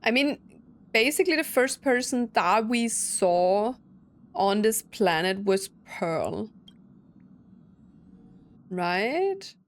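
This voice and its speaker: A woman's voice: a little high, sing-song, slow pace, long pauses. A woman giving casual, slangy reaction commentary as she plays a game, thinking aloud. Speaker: gaming streamer.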